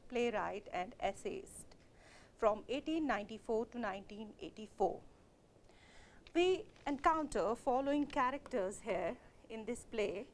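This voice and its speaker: Slow, lively-voiced woman lecturer. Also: on the high side, a little hiss or hum. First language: Kashmiri. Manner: explanatory, with frequent "uh, you know" fillers. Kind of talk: recorded literature lecture.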